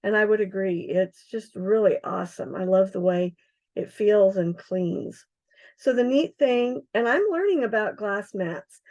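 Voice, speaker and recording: A middle-aged woman with a hoarse, froggy voice, chatting casually as she demonstrates craft supplies on a livestream, with many ums and uhs. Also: mid-range voice, animated, a little slow, a little mellow. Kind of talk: casual live product demonstration.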